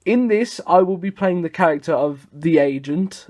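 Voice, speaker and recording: A man's voice: a little high, expressive, normal speed, a little mellow. A young man chatting casually to viewers as a vlogger, rambling and interrupting himself. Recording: music behind the voice.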